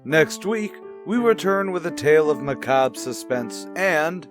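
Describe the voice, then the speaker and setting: A man reading a brisk scripted sign-off as the show's announcer. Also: fairly high pitch, lively intonation, music in the background.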